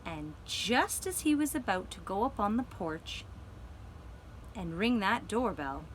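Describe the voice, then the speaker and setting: A woman telling a gentle children's story for a puppet show, deliberately, with dramatic pauses. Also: mid-range voice, big pitch swings, slight background noise, low-quality recording.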